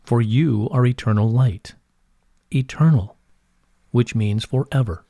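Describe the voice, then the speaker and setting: Man as wise collective light being, formal scripted reading, serene, very slow, soft-edged slightly husky voice, dry close sound. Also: medium pitch, no echo. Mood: solemn reassurance. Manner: formal, measured, solemn phrasing. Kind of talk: scripted channelled dialogue read aloud.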